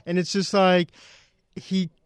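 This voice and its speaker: A man who is a regular on a sports talk show, giving his take in halting, restarting phrases. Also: high-pitched, level tone, smooth, dry recording, studio quality.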